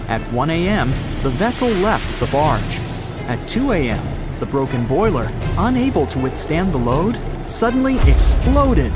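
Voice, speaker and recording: A man narrating a scripted documentary story in a clear, dramatic storyteller's delivery, with music behind him. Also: a little high. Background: background music.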